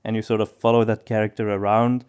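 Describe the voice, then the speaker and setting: A man co-hosting a podcast in casual discussion, with halting phrasing that often doubles back on words. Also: lowish voice, level tone, darkish tone, clean tone. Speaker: podcast co-host.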